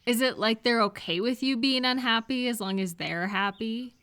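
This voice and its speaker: A woman playing a kind, caring friend in a comfort roleplay audio, speaking gently and reassuringly with soft questions. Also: fairly high pitch, moderate pace, clear, resonant tone, natural voice. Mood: sympathetic. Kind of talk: comfort audio roleplay, one-sided conversation.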